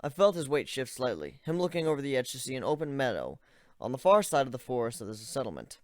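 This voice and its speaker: Guy reading a fan story out loud, steady plain narrating, mid pitch voice, dry close sound. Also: fast talker, no room sound. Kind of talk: amateur dramatic reading of a story.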